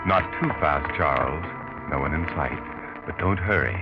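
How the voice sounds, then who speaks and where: Casting: taunting voice of fate, very low, sardonic, 1940s mystery narrator, muffled broadcast sound. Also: male voice, loud music behind the voice. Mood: ominous, taunting. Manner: deliberate, taunting, ominous. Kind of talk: 1940s radio mystery narration, second person. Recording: muffled vintage radio recording.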